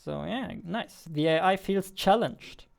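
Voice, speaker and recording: A man in his twenties talking casually as a game developer while coding, halting as he works at his desk. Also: high-pitched, gravelly. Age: 20s. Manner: halting while typing, reads text aloud slowly. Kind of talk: casual narrated coding livestream or devlog. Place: indoors, at a computer desk. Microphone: studio mic on boom arm, close.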